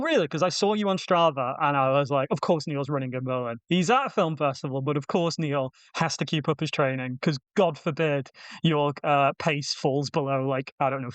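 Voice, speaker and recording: A man's voice: on the high side, moderate pace, no echo. A man co-hosting a casual film podcast, bantering and teasing his co-host in a jokey way.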